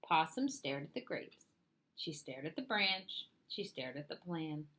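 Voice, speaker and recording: A woman reading a children's story aloud with expressive, deliberate, theatrical narration. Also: low voice. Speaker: storybook reader.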